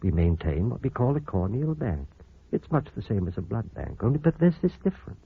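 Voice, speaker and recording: An actor playing a grave, considerate doctor in an old-time radio drama, speaking in a measured, explanatory way. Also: male voice, medium pitch, big pitch swings, gravelly, breathy edge, full character voice. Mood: grave, explaining. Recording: muffled, hissy old radio recording.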